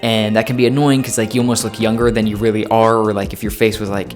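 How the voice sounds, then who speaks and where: A man's voice: lowish voice, little pitch movement, fast talker, faint music behind. A man in his twenties talking casually to the camera, chatty and playful, with plenty of fillers and self-corrections. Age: 20s. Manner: playful, jokey. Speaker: vlogger.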